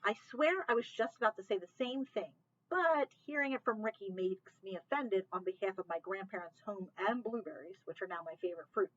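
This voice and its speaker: Bright-voiced woman reading a storybook aloud. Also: medium pitch, brisk, lo-fi sound. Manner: expressive storybook narration. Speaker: library read-aloud reader. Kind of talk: reading a children's novel aloud.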